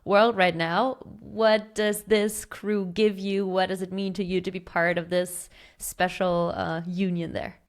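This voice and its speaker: A woman hosting a podcast interview, asking measured questions that she frames at length. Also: mid-range voice, level tone. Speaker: podcast host.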